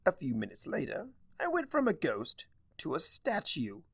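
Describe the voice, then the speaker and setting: A man voicing a theatrical, sardonic, mischievous male chaos spirit with a wry, drawn-out delivery in a fan fiction reading. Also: a little high, wildly swooping pitch, gravelly, a bit bright, slightly rough, dry recording, studio quality, voice pushed up, exaggerated voice. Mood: aggrieved, theatrical.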